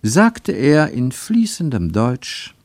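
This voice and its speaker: A man with pronunciation reading literary prose for radio, measured and deliberate, with emphatic phrasing and pauses. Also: medium pitch, huge pitch swings, a little mellow, no room sound. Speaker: narrator, reader of literary prose. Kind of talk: scripted literary narration for German radio.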